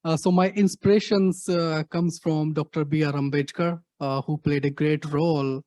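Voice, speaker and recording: A middle-aged man with an Indian accent answering audience questions in a halting way, full of fillers and repeated "actually". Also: a little high, steady tone, darkish tone, dry recording, studio quality. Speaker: guest speaker, activist.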